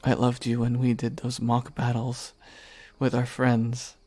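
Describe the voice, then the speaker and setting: A man voicing a devoted young knight who earnestly confesses his love, speaking softly and haltingly. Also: mid-range voice, completely flat delivery, no echo, lightly acted. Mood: tender. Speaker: voice actor. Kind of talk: audio roleplay acting.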